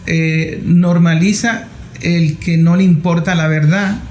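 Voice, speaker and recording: A man interviewing as a journalist, speaking conversationally in measured phrases that build into lists. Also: a little high, steady tone, a little mellow. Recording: echoey room with background noise.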